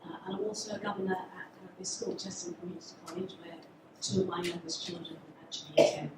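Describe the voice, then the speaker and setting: A woman from England with a fairly low voice gives a hesitant campaign speech, often pausing on fillers. She stands well back from the mic in a very echoey school hall. Clear background noise runs under her. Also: steady tone, recorded from a distance. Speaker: local election candidate. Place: school hall at a public meeting.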